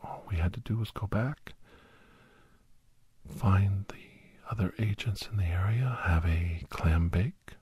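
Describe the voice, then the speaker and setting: A man narrating a suspenseful first-person ASMR story in a hushed, half-whispered voice with dramatic pauses. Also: low voice, breathy edge. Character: doctor recounting a monster-hunting adventure, grave and awed.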